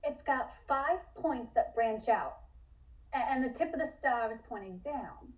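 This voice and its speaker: A woman's voice: a little high, animated, measured pace. A woman acting a timid, earnest young woman in a table read, anxious and hesitant. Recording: slightly distant microphone.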